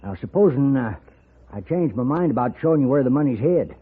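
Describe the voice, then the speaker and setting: An actor playing a cantankerous, wounded old outlaw with an American accent, grumbling and stammering in a folksy way in a 1950s radio Western. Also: male voice, medium pitch, animated, a little fast, breathy edge. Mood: stubborn. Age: older. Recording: noisy, phone-like 1950s radio recording.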